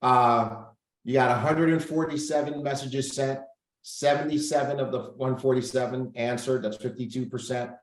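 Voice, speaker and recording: A man's voice: medium pitch, fairly even intonation, normal speed, reverberant. A man hosting a casual group coaching call over video, upbeat, thinking aloud and reading out figures. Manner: upbeat, reads out numbers, thinks aloud while clicking.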